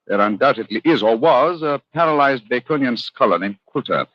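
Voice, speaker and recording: An actor playing an incisive, commanding English gentleman detective with a British accent in a 1940s radio drama, clipped and theatrical. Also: mid-range voice, expressive, brisk, dry recording, lightly acted. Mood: deductive. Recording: dull, dry old radio recording.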